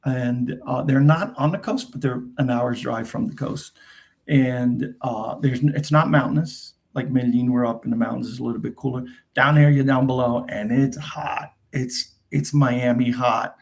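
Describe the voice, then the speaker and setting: A man's voice: mid-range voice, fairly even intonation, normal speed, creaky. A middle-aged man with a New Jersey accent whose first language is Portuguese, hosting a rambling, chatty live Q&A. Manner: rambling, chatty, frequent fillers and restarts. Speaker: host. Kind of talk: casual live Q&A chat.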